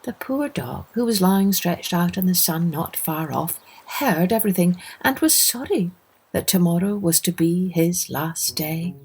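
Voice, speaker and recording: A woman's voice: fairly low pitch, animated, a little slow, darkish tone. A woman narrating a scripted children's fairytale expressively, as the host of a storytelling podcast. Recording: music under the voice.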